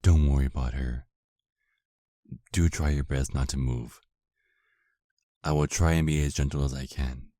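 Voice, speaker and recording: A man playing a polite, seductive vampire husband in an ASMR roleplay drama, often dropping into a hushed, hypnotic manner. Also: a bass voice, fairly even intonation, unhurried, long pauses, no room sound, studio quality. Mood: gentle, reassuring.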